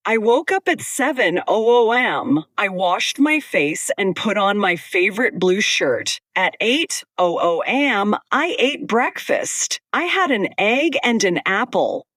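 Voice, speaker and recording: Slow woman reading a simple story. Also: medium pitch, sing-song, fairly bright tone, no echo, clean studio sound. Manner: slow, plain, evenly paced sentences. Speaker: storyteller in a lesson.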